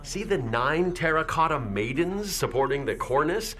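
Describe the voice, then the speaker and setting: An actor playing a jovial veteran tour guide in a radio play, announcing in a theatrical, upbeat manner. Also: male voice, fairly high pitch, animated, moderate pace, natural voice. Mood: showy, upbeat. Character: jovial veteran male tour guide, relentlessly chipper showman.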